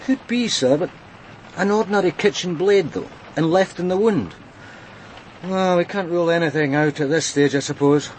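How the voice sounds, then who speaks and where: A man's voice: fairly high pitch, moderate pace, slight background noise, lightly acted, poor audio. An actor playing a dry, weary male detective sergeant in a radio crime drama, speaking plainly and with understatement. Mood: dry, grim.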